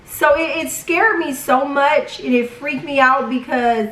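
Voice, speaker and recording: High-voiced woman telling stories, roomy echo. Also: measured pace. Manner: animated, dramatic retelling with acted quotes. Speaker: vlog storyteller. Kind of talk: casual personal storytelling. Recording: roomy echo, distant from mic.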